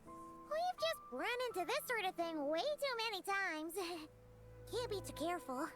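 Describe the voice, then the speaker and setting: A woman voicing a tiny, chatty, suspicious childlike fairy companion in a video game, with a squeaky voice. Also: big pitch swings, a little slow, full character voice. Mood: wary. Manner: speaks of herself in third person. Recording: game dialogue under loud music.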